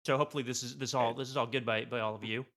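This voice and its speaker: A man's voice: mid-range voice, steady tone, racing, a bit bright. A man chatting casually and comedically as a podcast panelist, often repeating short phrases as he goes.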